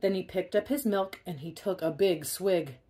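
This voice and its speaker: A woman reading a children's picture book aloud in an expressive way, stressing key words. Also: lowish voice, normal speed, slightly rough. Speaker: storybook reader.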